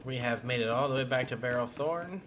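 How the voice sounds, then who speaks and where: A man gives playful, casual commentary while playing a game. His voice is average in pitch, with clearly audible game ambience and noise behind it. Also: a little fast. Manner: playful, chatty. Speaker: game streamer.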